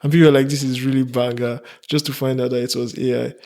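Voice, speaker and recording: A man's voice: medium pitch, flat delivery, fast, darkish tone. A man speaking as a podcast guest in casual, conversational chat.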